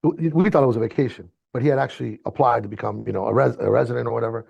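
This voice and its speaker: A man in his fifties, born in Chile and raised in Miami, whose first language is Spanish, telling his story as a guest in a casual, slangy, animated way. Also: mid-range voice, racing, creaky, husky, no echo, highs cut off. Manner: animated, slangy, swears freely. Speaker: mixing engineer guest.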